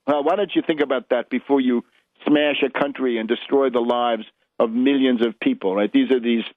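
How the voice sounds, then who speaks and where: A man hosting a radio show, giving opinionated political commentary with emphatic, deliberate, halting phrasing and a mocking edge. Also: medium pitch, steady tone, dry recording. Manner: emphatic, rhetorical questions, mocking when quoting. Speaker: radio host. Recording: narrowband, muffled radio broadcast sound.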